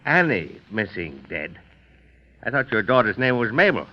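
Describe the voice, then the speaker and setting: An actor playing a skeptical, probing defense lawyer who questions with condescension in an old radio drama. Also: male voice, fairly low pitch, very animated, normal speed. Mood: doubtful, probing. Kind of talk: 1930s radio drama acting. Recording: dull old radio recording.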